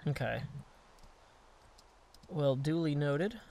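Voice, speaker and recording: A man's voice: a little high, lively intonation, drawn-out words, gravelly, a little mellow, dry recording. A man chatting casually while playing a building game, thinking aloud with many fillers and restarts. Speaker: game player. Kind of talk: casual gameplay chat.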